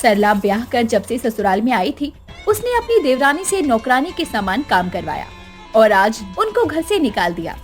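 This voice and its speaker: A woman tells a cartoon story in a high, lively voice. Her scripted narration is dramatic, and music plays clearly behind her. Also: normal speed.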